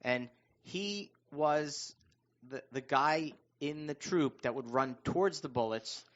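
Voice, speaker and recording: A man's voice: a little high, slow pace, a bit bright, highs cut off. A man on a talk radio panel, explaining his views in a casual, conversational way. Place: radio show panel discussion.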